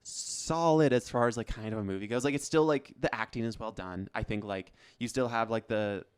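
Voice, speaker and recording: A man's voice: medium pitch, brisk, clean tone. A man co-hosting a casual movie podcast, talking eagerly with many "like" fillers. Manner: enthusiastic, lots of "like" fillers. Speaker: podcast co-host. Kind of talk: casual chat.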